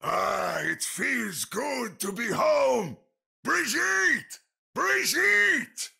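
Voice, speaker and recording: An actor playing a boisterous, proud old armoured knight in a video game, with a German accent and a booming, bombastic delivery. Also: male voice, big pitch swings, drawn-out words, pushed and bright, exaggerated voice. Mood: jovial, booming. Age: older. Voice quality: gravelly, husky, booming. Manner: bombastic, hearty.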